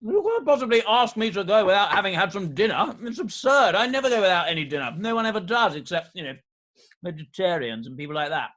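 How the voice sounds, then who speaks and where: Seeking a male actor for a glib dandy in period stage comedy, high and very animated in pitch. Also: quick pace. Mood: nonchalant, defensive. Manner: airy, glib, mock-serious. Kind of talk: rehearsed reading of a Victorian stage comedy.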